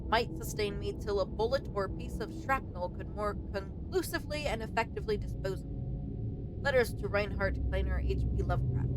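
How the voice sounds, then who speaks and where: A woman streaming a let's play, reading game text aloud and commenting in a casual, informal way. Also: rough recording. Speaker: streamer playing and reading a visual novel. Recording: clear rumbling background noise.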